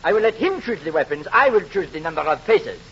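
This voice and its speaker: An actor playing a cowardly, flattering count in a florid 1940s radio comedy style. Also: male voice, sits high, quick pace. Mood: mock-brave. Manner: theatrical, florid, mock-gallant. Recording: dull old radio recording, audience, noisy.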